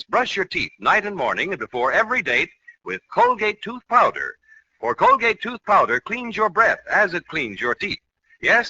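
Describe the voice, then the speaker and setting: Very bright-voiced vintage radio announcer, man. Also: a little high. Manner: polished, persuasive, emphatic. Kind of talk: 1940s radio advertising pitch. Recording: faintly noisy, narrow old radio recording.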